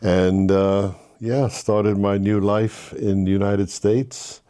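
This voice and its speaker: An older man from Haiti, a guest telling his life story with earnest faith, with halting restarts. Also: lowish voice, little pitch movement, mellow, dry recording, studio quality. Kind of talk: interview, personal story.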